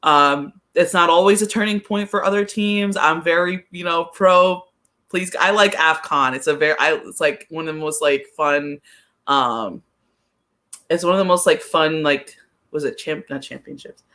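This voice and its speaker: A man with a sharp, bright voice pitched a little high talks soccer as a podcast co-host. He speaks casually and rambles, stopping and correcting himself as he goes. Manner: rambling, halting, self-correcting.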